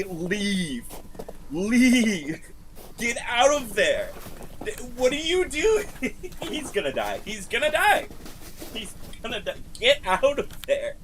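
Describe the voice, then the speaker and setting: Excitable streamer with swooping pitch. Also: a little hiss or hum. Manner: excitable, sweary, jokey. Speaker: streamer reacting to videos. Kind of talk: casual live reaction commentary.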